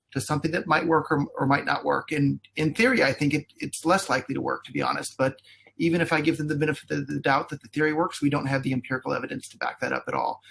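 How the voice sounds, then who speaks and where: A man's voice: a little high, creaky, a bit bright, slight background noise. A man speaking as a guest in a debate, earnest and often repeating words as he stresses his points. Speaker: debate guest, company CEO. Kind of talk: spoken debate.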